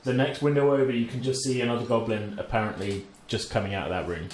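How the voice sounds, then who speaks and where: A man acting as game master, narrating and explaining rules in a halting, casual, chuckling way. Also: mid-range voice, fairly even intonation. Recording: roomy, echoing home recording with faint noise.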